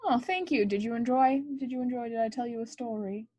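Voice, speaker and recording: A woman's voice: a little high, a little fast, a little mellow, dry recording. A woman co-hosting a podcast, telling a true-crime story in a chatty, laughing way with lots of ums. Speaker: podcast co-host.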